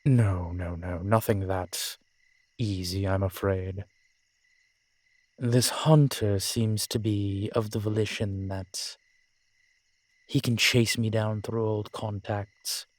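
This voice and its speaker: A man's voice: on the low side, fairly even intonation, takes it slowly, dry recording. A man playing an ancient, proud vampire in an audio drama, speaking with formal, courtly diction and gravity. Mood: grave. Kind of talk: dramatic audio roleplay acting.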